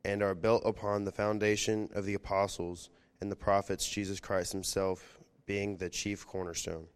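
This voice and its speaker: A man reading scripture aloud in a steady, even way. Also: low-pitched, normal speed, clear, resonant tone. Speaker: scripture reader.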